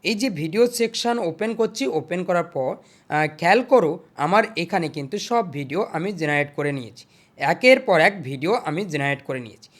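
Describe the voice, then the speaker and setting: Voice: mid-range voice, animated, normal speed. A tutorial host narrating screen steps in an instructional way, repeating each step before moving to the next.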